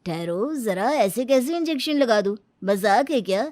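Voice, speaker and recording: Woman doing a gruff, flustered doctor. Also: a little high, lively intonation, moderate pace, darkish tone, no echo, voice pushed down, close to an everyday voice. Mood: stern. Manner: stern, exasperated. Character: flustered older male doctor.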